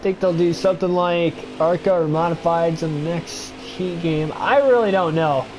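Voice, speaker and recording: A man's voice: a little high, normal speed, background noise. Stuffy-nosed young man chatting on a livestream. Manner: rambling, thinking aloud with many fillers. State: stuffy nose. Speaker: gaming livestreamer. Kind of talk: casual livestream chat and race commentary.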